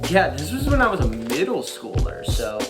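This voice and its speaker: A young American man chatting casually to his viewers in a halting, informal way, thinking aloud as he goes. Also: fairly high pitch, huge pitch swings. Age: young adult. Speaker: YouTuber. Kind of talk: casual vlog chat to viewers. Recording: music playing behind the voice. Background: background beat music.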